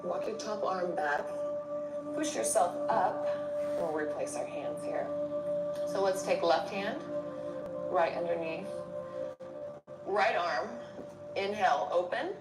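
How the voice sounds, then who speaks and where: A woman's voice: fairly low pitch, slow, long pauses. A woman leading a guided yoga class, giving step-by-step cues for breath and movement in short phrases. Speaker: yoga instructor. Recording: roomy distant mic under loud music.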